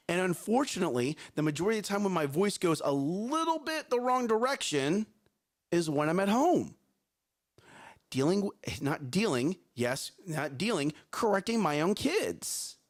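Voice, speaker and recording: A man co-hosting a live morning talk show, speaking in a strong, carrying voice. He talks energetically, repeats words and keeps checking in with "right?" and "okay?". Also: a little high.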